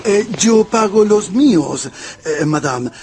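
High-voiced, ingratiating marquis. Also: male voice, expressive, slow pace, a little mellow, slight background noise, exaggerated voice. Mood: polite, wounded. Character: suave, ingratiating French marquis. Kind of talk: radio drama acting.

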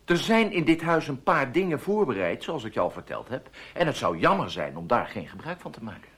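A man acting a menacing, scheming ex-lover in a Dutch radio play, taunting and mock-polite in a deliberate way. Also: mid-range voice, big pitch swings, fairly bright tone, slightly rough, full character voice. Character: menacing scheming male ex-lover, smooth blackmailer.

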